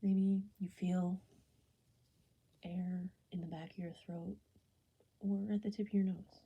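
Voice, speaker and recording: A woman guiding a body scan meditation, speaking softly and gently in an unhurried, soothing way, often slipping toward a whisper. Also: on the low side, steady tone, lo-fi sound. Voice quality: soft, breathy, often falling into a whisper. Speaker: meditation guide.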